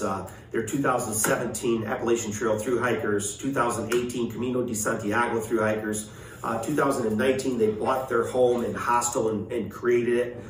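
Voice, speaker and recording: A man's voice: mid-range voice, a little hiss or hum. A man in his fifties giving a casual, enthusiastic vlog review in an informal way. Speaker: hiker and video reviewer. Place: indoors in living rooms of a house. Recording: roomy, distant mic, music behind.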